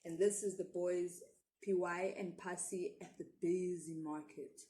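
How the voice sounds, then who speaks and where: A woman's voice: lowish voice, steady tone, measured pace, warm tone, slight background noise. A woman with a South African accent reads a children's story expressively as a storyteller.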